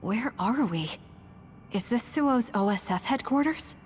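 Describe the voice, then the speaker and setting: An actress playing a calm, resolute young woman fighter in a video game, with a cool, matter-of-fact delivery. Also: on the high side, a little slow, slight background noise. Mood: wary.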